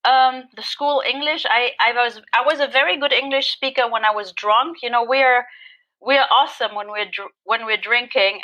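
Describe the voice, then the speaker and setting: A woman with a German accent, a non-native English speaker, telling her recovery story in an interview with a loud, animated, laughing manner. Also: fairly high pitch, lo-fi sound. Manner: animated, laughing, self-mocking. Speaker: guest sharing recovery story.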